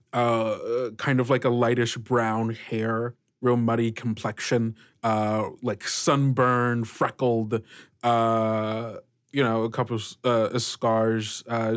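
A man narrating a tabletop roleplaying game as game master, improvising in short, halting phrases. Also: medium pitch, no room sound, clean studio sound.

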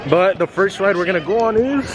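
Young male vlogger, playful and excitable, higher voice, handheld cam over loud park crowd and music. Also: brisk, poor audio. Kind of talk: casual vlog chat. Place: outdoor theme park. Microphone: handheld camera at arm's length.

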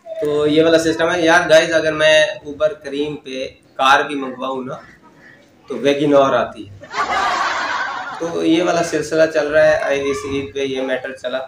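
Chatty self-mocking young comedian. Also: male voice, fairly high pitch, level tone, measured pace, reverberant, background noise. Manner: chatty and self-mocking, laughs through his own lines. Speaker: stand-up comedian and channel host. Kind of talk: casual stand-up comedy monologue. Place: studio in front of a lit backdrop. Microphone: lapel mic.

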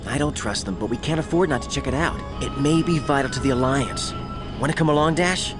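A man's voice: mid-range voice, fairly quick, close to an everyday voice. A man playing a serious, resolute young rebel hero in video game cutscene dialogue. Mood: serious concern. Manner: serious, earnest. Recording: music behind the dialogue.

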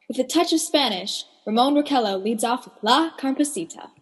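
A woman's voice: high-pitched, expressive, brisk. A woman playing a genteel presenter of dance music in a 1930s-style radio play, reading scripted introductions. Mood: genteel, presenting. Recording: long hall-like reverb.

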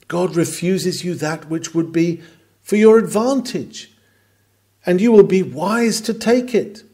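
A man reading a Victorian sermon aloud in an oratorical manner, with deliberate pauses and emphasis. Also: fairly high pitch, warm tone. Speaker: reader of a historical sermon.

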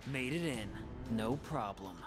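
A man plays an earnest young warrior in a video game. He is loyal and hopeful, with a mid-range pitch and a slow, deliberate delivery. Loud game music runs behind him. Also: expressive, close to an everyday voice. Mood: confident. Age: young adult.

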